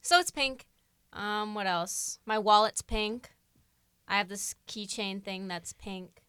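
A teenage girl co-hosting a casual podcast chat, self-mocking and quick to laugh. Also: medium pitch, a bit bright, clear, resonant tone, no echo. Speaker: podcast co-host.

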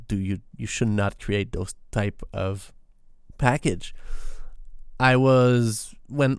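A man from Quebec with a French Canadian accent, a native French speaker, talking alone about programming in a halting way with lots of restarts. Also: on the low side, darkish tone, clear, resonant tone, no echo, clean studio sound. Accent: Québécois French. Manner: halting, many restarts and fillers. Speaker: podcast host. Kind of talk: solo tech talk.

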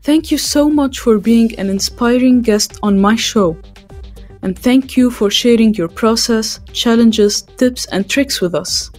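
A woman's voice: on the high side, a little slow, a little mellow, faint music behind. A woman hosting a podcast, reading out scripted interview questions and thanks.

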